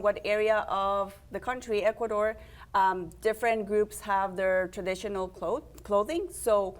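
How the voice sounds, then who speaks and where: A young woman hosts a community TV segment in a friendly, upbeat way. She steers the talk with easy questions and introduces each guest. Her pitch sits in an ordinary middle range. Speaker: host and program organizer. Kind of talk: community TV interview.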